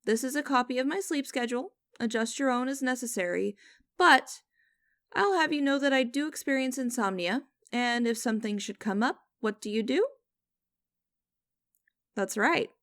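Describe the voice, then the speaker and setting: A woman playing a cold, condescending female boss in an ASMR audio roleplay, speaking to the listener in a deliberate, commanding, mocking way. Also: high-pitched, fairly bright tone, no echo, studio quality. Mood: stern, commanding. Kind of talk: ASMR roleplay acting, direct address to listener.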